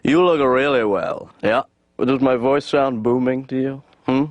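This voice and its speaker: An actor playing an aimless young adult son in a cartoon, talking in a rambling, hesitant deadpan mumble. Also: male voice, measured pace, no room sound, natural voice. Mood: boastful. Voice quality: low gravelly deadpan mumble. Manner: rambling, hesitant, many fillers. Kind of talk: animated sitcom dialogue.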